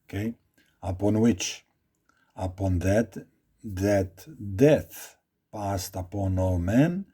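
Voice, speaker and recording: A man with a Croatian accent talks slowly through a Bible study, dwelling on each phrase and repeating himself as he reads. His voice sits low and mellow, with a husky edge. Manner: slow, deliberate, repeats phrases while reading aloud. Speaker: Bible teacher. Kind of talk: informal Bible study talk.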